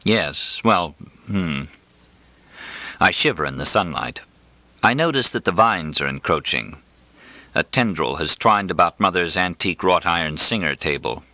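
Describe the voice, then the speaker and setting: A man with a low, forward voice narrates a novel. His delivery is wry and expressive, moving freely up and down in pitch, and the sound is close and dry. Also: dry recording. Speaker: audiobook reader. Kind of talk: literary first-person fiction narration.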